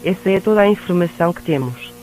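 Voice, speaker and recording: A man's voice: sits high, animated, rapid-fire, a little mellow, rough recording. A man reading a scripted emergency announcement in a formal, synthetic-sounding way.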